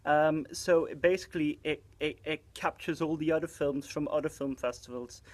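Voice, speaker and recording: Belgian man chatting about films. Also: medium pitch. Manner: hesitant, many fillers. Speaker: cinema box office supervisor. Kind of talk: casual film chat.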